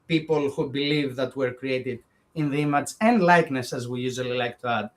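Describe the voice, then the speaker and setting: A man's voice: medium pitch, fairly quick. Give me a Greek man speaking English with his accent, talking at length and restarting sentences. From Greece. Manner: earnest, long winding sentences with restarts. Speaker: guest. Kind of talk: conversational interview.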